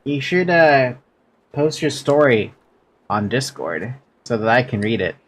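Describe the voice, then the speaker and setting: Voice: medium pitch, sing-song, a little slow. A game streamer chatting casually and playfully with viewers in a rambling way while playing. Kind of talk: casual livestream chat over gameplay.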